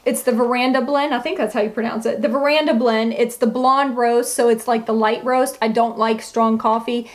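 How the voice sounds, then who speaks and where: A woman's voice: fairly high pitch, steady tone, moderate pace, few pauses. A woman chatting casually as a beauty vlogger, gushing and emphatic, with a slightly froggy voice. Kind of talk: casual chatty product review to camera.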